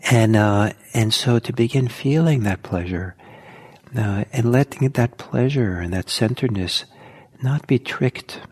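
A man teaching meditation in a soft, slow, halting way, with many pauses. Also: mid-range voice, breathy edge. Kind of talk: guided meditation talk.

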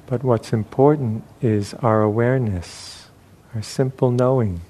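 Man, meditation teacher, very slow delivery, long pauses, dark relaxed tone, gentle guided-practice style. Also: medium pitch.

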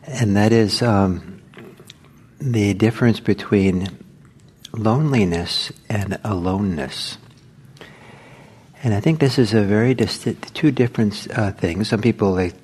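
An older man, originally from Norway and a native Norwegian speaker, giving an informal meditation talk in a gentle, unhurried way with many pauses. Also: deep voice, slight background noise. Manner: gentle, hesitant, with frequent pauses and fillers. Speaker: meditation teacher. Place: meditation hall.

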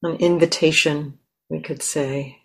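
A woman teacher leading a guided meditation in a gentle, unhurried way with long pauses between phrases. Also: low voice, fairly even intonation, breathy edge.

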